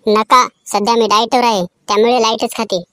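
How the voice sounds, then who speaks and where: A woman playing a sharp-tongued, nagging wife in comic cartoon skit dialogue. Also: fairly high pitch, fairly even intonation, brisk. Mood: indignant.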